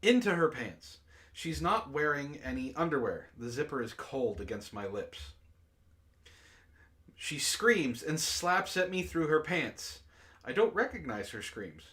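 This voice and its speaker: A man's voice: fairly high pitch, big pitch swings, measured pace, sharp tone. A man hosting a book club, reading prose aloud dramatically and breaking off into exasperated asides.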